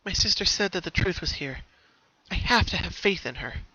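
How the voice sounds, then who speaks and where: Young female pony lead, done by a man: raised pitch, earnest, anxious yet determined, horror storybook tone. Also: expressive, moderate pace, sharp tone, breathy edge, lo-fi sound, exaggerated voice. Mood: nervous resolve. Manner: self-reassuring, earnest.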